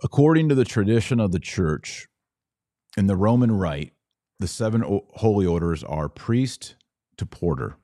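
Male podcast host, low-pitched and dry close sound, didactic, informal teaching delivery for viewer questions. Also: lively intonation, normal speed, mellow, no room sound. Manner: didactic, explanatory, asks and answers own questions. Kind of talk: live Q&A, informal religious teaching.

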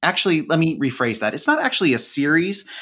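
A man speaking as a podcast guest in a conversational interview, enthusiastic, with measured phrasing and elaborate word choices. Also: fairly high pitch, big pitch swings, pushed and bright.

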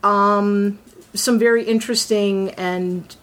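A woman's voice: mid-range voice, steady tone, takes it slowly, slight background noise. A woman voice actor in a casual round-table chat, animatedly acting out her character's thoughts as she talks.

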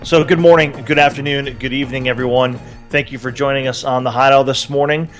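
An upbeat man co-hosting a casual tech podcast, joking and rambling as he introduces the show. His voice sits at an ordinary middle pitch. Faint music plays underneath. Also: steady tone, quick pace. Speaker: podcast co-host.

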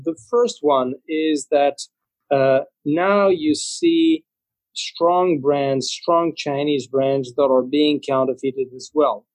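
A man's voice: a little high, fairly even intonation, slow, a little mellow, no room sound, low-quality recording. A man from Spain answering interview questions about brands and trademarks, talking fluently with many "you know" fillers. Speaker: interview guest, trademark association representative.

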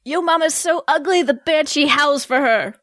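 A woman's voice: high-pitched, moderate pace, fairly bright tone, no echo. A woman voicing a drunk, party-averse fantasy character in a dodgy put-on British accent, rambling in an amateur fan-fiction read-aloud. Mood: tipsy.

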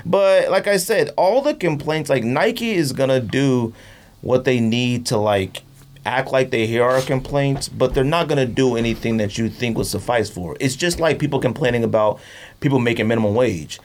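A man in casual podcast chat, talking in a slangy, animated way and acting out imagined quotes. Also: mid-range voice, moderate pace. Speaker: podcast host.

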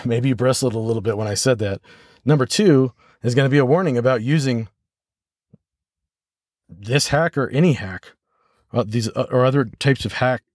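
An American man hosting a podcast solo, talking reflectively and haltingly as he thinks aloud. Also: mid-range voice, dry recording, clean studio sound. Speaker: podcast host.

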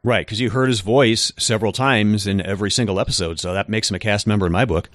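A man co-hosting a podcast, explaining things in a measured way with occasional hesitant fillers. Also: lowish voice, steady tone, a bit bright, no echo, studio quality. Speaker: podcast co-host. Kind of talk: casual chat.

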